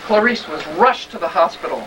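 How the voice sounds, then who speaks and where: A man's voice: high voice, expressive, fairly quick. A man narrating a children's story in a mock-serious, melodramatic theatrical style. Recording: distant, very noisy live stage recording.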